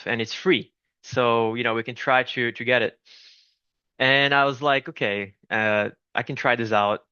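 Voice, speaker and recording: Bright, crisp-voiced Brazilian-born man. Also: medium pitch, dry recording, highs cut off. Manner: friendly, chatty, frequent restarts. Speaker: game studio founder, guest. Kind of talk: casual interview talk.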